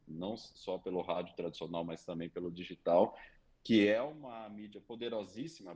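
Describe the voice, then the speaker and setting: A man with a Brazilian Portuguese accent speaks in a notably deep, husky voice. He talks in a steady explanatory podcast manner, dropping small tag questions and fillers as he lays out figures. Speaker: radio industry commentator. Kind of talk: podcast commentary.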